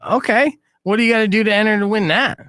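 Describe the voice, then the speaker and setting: A man's voice: fast, no echo, studio quality. A man hosting a radio show, telling stories and bantering casually with his co-hosts. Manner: animated storyteller, jumps loud and high when excited. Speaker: radio DJ and host. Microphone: studio microphone.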